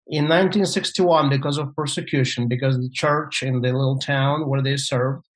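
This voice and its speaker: A man in his forties from Moldova whose first language is Russian speaks at a middle pitch. He is a pastor answering interview questions in a measured, halting way, full of pauses and fillers. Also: fairly even intonation, rough recording.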